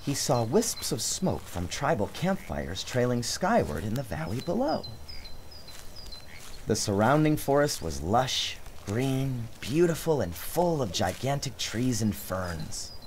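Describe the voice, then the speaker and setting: A man giving a dramatic, expressive narration of a storybook, theatrical in his phrasing. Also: mid-range voice, slow, slight background noise. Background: music.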